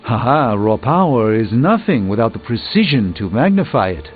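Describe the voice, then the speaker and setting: An actor playing an erudite, dryly witty sorcerer in a video game, with pompous, theatrical, ornate delivery. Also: male voice, medium pitch, sing-song, normal speed, close to an everyday voice. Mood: admiring, grand. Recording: muffled phone-like band, music behind.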